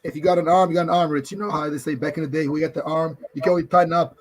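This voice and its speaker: A hoarse man who is losing his voice, a podcast guest in casual chat who rambles and says "you know" a lot. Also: a little high, steady tone, racing.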